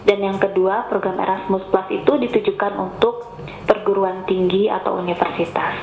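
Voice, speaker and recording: A woman's voice: medium pitch, steady tone, moderate pace, creaky, a little hiss or hum. A woman with a cough giving a patient, explanatory webinar presentation over a remote call. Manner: patient, explanatory, addresses audience politely. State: has a cough. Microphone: remote call mic. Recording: tinny, echoey online call audio.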